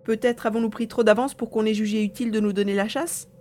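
Woman playing an earnest high-voiced young boy adventurer. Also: steady tone, fast, a little mellow, a little hiss or hum, natural voice. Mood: pensive. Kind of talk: French audio drama acting.

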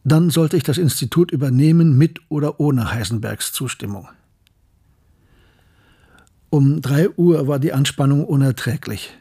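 An actor playing a grave scientist who narrates his memories of wartime tragedy in a sombre, measured way for a radio drama. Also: mid-range voice, mellow, slightly rough. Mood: sombre, tense.

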